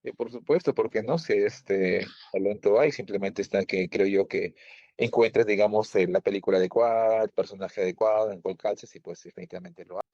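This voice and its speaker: Gravelly low-voiced male interviewer. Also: a little mellow, dry recording. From Peru. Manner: affirming, measured. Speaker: interviewer host. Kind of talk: interview questions. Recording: dull, dry sound.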